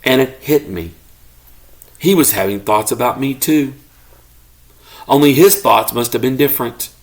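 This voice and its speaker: A man reading a children's story aloud expressively, as a parent does to a child, pausing to ask questions. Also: mid-range voice, a bit bright. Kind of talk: expressive children's read-aloud, narrating as a pet dog.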